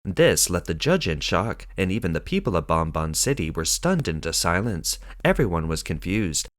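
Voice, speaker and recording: A man narrating a scripted news explainer in a measured, dramatic storytelling manner. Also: sits low, very animated, no echo, clean studio sound.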